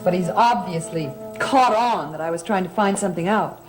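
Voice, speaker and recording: A woman's voice: lowish voice, normal speed, music in the background, close to an everyday voice, poor audio. An actress playing a troubled, guarded woman in a gothic television soap, with an earnest, dramatic delivery. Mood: grave, searching.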